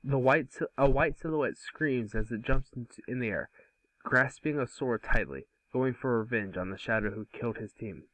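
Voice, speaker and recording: A man's voice: mid-range voice, moderate pace, fairly bright tone, dry recording, low-quality recording. Dry-sounding man narrating a script. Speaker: narrator in a table read. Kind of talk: scripted narration of stage directions.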